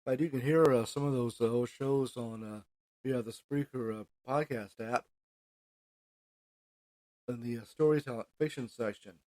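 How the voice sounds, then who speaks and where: An American man hosting an informal solo podcast, reading from notes in a halting way and stumbling over names. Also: medium pitch, darkish tone, dry recording, poor audio. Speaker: podcast host.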